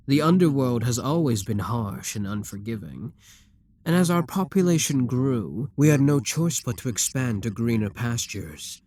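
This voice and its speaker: A man voicing a possessive, scheming demon king who talks to the listener in a calm, coaxing, self-assured way. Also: medium pitch, no echo, studio quality. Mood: persuasive. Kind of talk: audio roleplay addressed to the listener.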